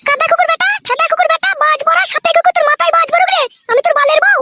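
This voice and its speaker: A woman's voice: very high-pitched, fairly even intonation, very fast, heavy background noise. A woman voicing a furious young village wife in a comic cartoon, scolding, accusing and calling names. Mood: furious, cursing. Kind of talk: comic cartoon dialogue in village family quarrel.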